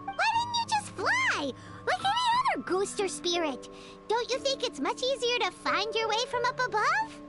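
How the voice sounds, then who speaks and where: Tiny floating anime-game sidekick, extremely high and childlike, hugely animated, skeptical, music underneath. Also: female voice, normal speed. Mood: puzzled. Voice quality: squeaky, childlike. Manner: refers to self in third person, exclamatory questioning.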